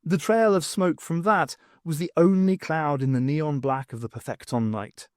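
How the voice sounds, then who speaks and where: A man reading fiction aloud as an audiobook narrator, in an expressive storytelling style. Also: fairly high pitch, moderate pace, dry recording, studio quality.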